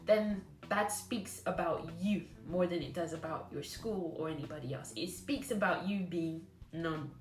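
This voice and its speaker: A woman's voice: fairly low pitch, roomy sound, low-quality recording. A woman giving a recorded lecture to trainee teachers, blunt and scolding, driving her points home with repetition.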